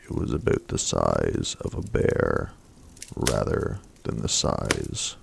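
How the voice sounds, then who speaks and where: Deep gravelly soft-spoken man. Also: animated, background noise. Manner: slow, soft-spoken, mostly unvoiced whispery delivery. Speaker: ASMR storyteller. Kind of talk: soft-spoken ASMR story reading.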